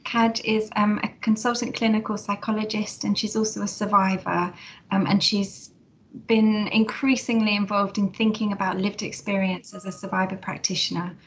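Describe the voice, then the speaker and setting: A woman's voice: medium pitch, level tone, moderate pace, a little hiss or hum, low-quality recording. Woman host introducing, typing behind. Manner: enthusiastic introductions. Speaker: webinar host.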